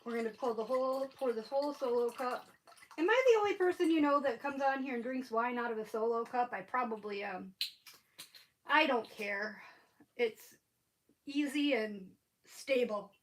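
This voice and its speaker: A woman's voice: a little high, moderate pace, poor audio. A woman hosting a casual crafting livestream from home, chatting and rambling with a stuffy, sniffly head cold. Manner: chatty, rambling, laughs often.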